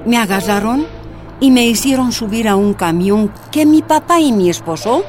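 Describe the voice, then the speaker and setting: A woman narrating her own story quietly in a radio drama. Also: on the high side, expressive, moderate pace, over music. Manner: quiet recounting.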